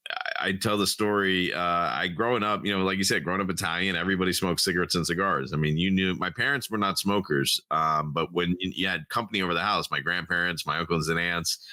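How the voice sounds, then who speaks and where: A middle-aged man with a very low yet strikingly bright, forceful voice tells family stories at a fast clip. He rambles cheerfully with lots of "uh" and "you know". The sound is dry and close. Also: no room sound. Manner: fast, chatty storytelling with many fillers. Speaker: podcast guest, cigar brand founder. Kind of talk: casual chat.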